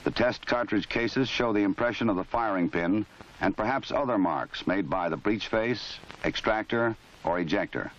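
An American man reading formal scripted narration for a training film in a measured, authoritative, matter-of-fact way. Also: fairly low pitch. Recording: dull lo-fi 1970s film soundtrack.

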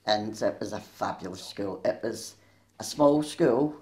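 An older woman with a gruff voice and a Glaswegian Scottish accent, chatting through her memories in an oral history interview. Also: extremely low pitch, animated, unhurried, darkish tone, echoey room. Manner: chatty storyteller, laughs easily. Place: small indoor room. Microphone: handheld mic held near her.

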